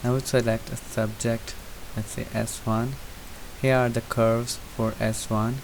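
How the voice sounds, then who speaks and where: A man giving an unscripted, methodical software tutorial, explaining each step as he goes. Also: medium pitch, measured pace, creaky, low-quality recording. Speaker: presenter demonstrating software. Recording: hissy recording with steady background noise. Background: hiss.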